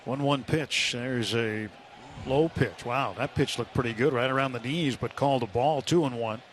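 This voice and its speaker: An American man doing live radio play-by-play of a baseball game, with unhurried pitch calls and pauses between phrases. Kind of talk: live sports commentary. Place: ballpark broadcast booth. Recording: live stadium broadcast, ambient noise.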